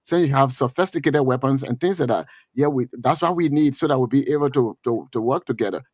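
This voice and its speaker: Liberian guy talking politics, stops and restarts a lot, lots of uh's, mid pitch, dry close mic. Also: darkish tone, no room sound. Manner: halting, repeats words often, many fillers. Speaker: commentator on a streamed talk show. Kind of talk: political commentary.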